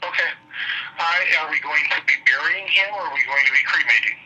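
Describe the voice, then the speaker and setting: A man's voice: on the high side, quick pace, pushed and bright, husky. A man working as a funeral director, answering a phone call politely but firmly, calling the caller sir. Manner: polite, patient, firm, says sir often. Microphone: telephone line. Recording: dull phone line with faint hiss.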